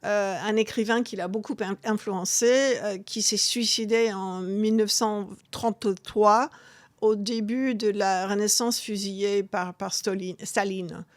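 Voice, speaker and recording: A woman, talking as a guest author in an interview, halting with many restarts and fillers. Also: medium pitch, steady tone, no echo. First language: English. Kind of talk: interview conversation.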